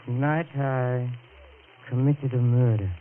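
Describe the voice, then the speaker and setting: An old, muffled radio recording with some hiss carries an actor as a tormented, guilt-ridden man in a suspense drama. He speaks slowly and haltingly, repeating himself with melodramatic anguish and insecurity. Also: medium pitch, steady tone, natural voice. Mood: grim confession. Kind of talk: 1940s radio suspense acting.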